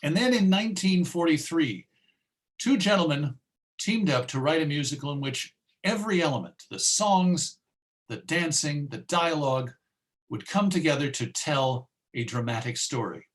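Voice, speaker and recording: A man presenting an informal history talk in a conversational, halting way with dry humor. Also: fairly high pitch.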